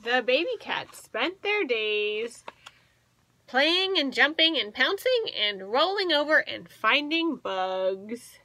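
A woman in her thirties reading a storybook aloud to children as a teacher, expressive and asking the listeners questions. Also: sits high, slow, no room sound. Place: small indoor room with bookshelves.

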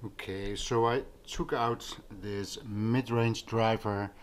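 A man talking casually as a vlog host, explaining things in a musing, unhurried manner while filming indoors. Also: on the low side, fairly even intonation, slight background noise. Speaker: audio vlogger, host. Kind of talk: casual vlog talk over handheld footage. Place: living room with carpet and couch, indoors.

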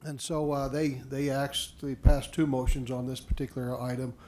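A man, a regional council chair, reporting motions to a board in a meeting hall, halting with many uhs and restarts. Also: mid-range voice, level tone, mellow, slight background noise.

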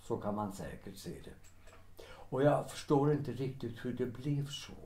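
Period radio play servant played by a man: resigned, polite, guarded, quietly bitter, with audible room echo. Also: medium pitch, expressive, close to an everyday voice. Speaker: actor in an audio drama. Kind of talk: period audio drama acting, 1930s setting. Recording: roomy, echoing sound.

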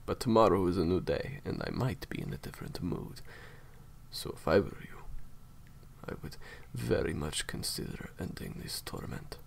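Man playing a deep, gravelly, courteous villain. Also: big pitch swings, slight background noise, exaggerated voice. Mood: quietly threatening. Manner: formal, unhurried, theatrically polite threats. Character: menacing, courteous male villain, cold captor. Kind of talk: roleplay audio drama monologue.

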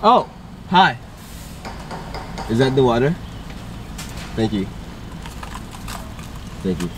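A young American man vlogging pranks in casual, slangy, excitable chat with his friends. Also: mid-range voice, drawn-out words. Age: young adult. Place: inside a car and outdoors by a mall. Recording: noisy car and street ambience.

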